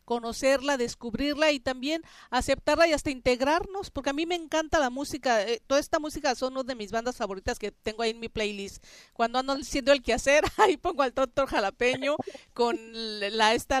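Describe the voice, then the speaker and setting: A woman's voice: high voice, brisk, clear, resonant tone, dry recording, clean studio sound. A woman with a Mexican accent hosting a radio programme in a chatty, colloquial, conversational way. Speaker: radio host.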